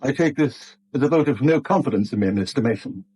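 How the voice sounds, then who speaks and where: A man's voice: medium pitch, expressive, fairly quick, dark tone, slight background noise, close to an everyday voice. A man acting a proud, embittered older British bank manager in a 1970s radio drama, curt and indignant. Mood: tense, offended. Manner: curt, indignant, self-pitying.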